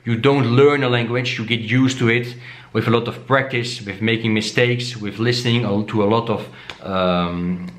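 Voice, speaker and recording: A man whose first language is Dutch, casually talking to camera as a vlogger, often asking "okay?" and filling pauses with "uh". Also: medium pitch, reverberant. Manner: repeats himself and tags "okay?" often, many "uh" fillers.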